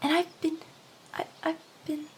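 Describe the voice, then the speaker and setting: A woman's voice: extremely high pitch, little pitch movement, a little slow. A woman voicing a lovelorn young female harpy in an anime ASMR roleplay, earnest and stammering, sometimes dropping into whispers. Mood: horrified. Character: hyper, lovelorn young female harpy desperately courting a human.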